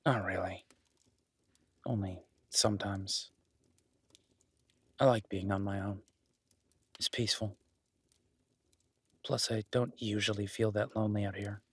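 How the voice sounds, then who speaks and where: A man playing a solitary, kindly cabin dweller and former medic in an intimate audio roleplay, speaking haltingly with dry teasing and reassurance. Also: on the low side, steady tone, breathy edge, no room sound. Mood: wry, relaxed. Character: gruff-kind solitary cabin-dwelling former EMT, awkward, teasing, protective.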